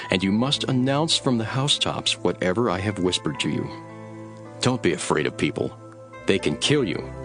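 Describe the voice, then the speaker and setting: Grave holy teacher, low-pitched male voice, authoritative, measured, solemn, scripture drama, soft background music. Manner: measured, solemn, declarative delivery.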